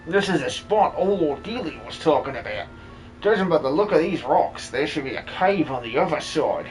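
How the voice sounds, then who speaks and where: A man voicing a boastful but cowardly muscle-bound miner with rough, cheery working-class speech in a video game fan dub. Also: on the high side, expressive, normal speed, faint music behind, natural voice, rough recording. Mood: boastful, eager.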